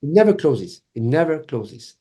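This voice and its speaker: French-accented man with a mellow voice. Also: a little high, big pitch swings, moderate pace. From Cameroon. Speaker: podcast guest, mentalist. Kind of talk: casual conversational interview.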